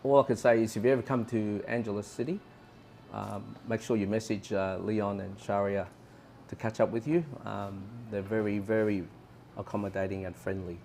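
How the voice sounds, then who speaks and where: Gravelly low-voiced man giving earnest advice. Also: steady tone, normal speed, darkish tone, slight background noise. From Australia. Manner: earnest, repeats advice plainly. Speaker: interview guest. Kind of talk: casual advice chat.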